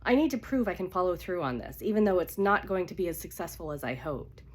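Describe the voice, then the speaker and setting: A woman's voice: fairly low pitch, lively intonation, fast talker, slight background noise, natural voice. A woman with a cold voicing an anxious, stubborn jilted bride in a romance novel reading. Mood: worried. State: congested with a cold.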